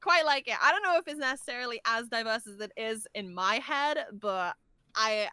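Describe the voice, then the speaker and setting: A woman's voice: fairly high pitch, expressive, normal speed, sharp tone, clear, resonant tone, dry recording. A woman chatting casually as a podcast guest, playful and giggly, often rambling and hesitating as she works out what to say. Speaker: voice actor guest being interviewed.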